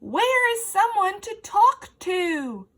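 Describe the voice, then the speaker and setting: A woman voicing a friendly female storybook ant who booms out her words loudly, eager for company. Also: big pitch swings, takes it slowly, full character voice. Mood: loud, pleading. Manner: booming, exclaiming.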